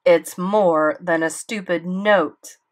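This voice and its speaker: A woman reading aloud, voicing a cold, stern male school official who speaks slowly and dismissively. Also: lowish voice, fairly even intonation.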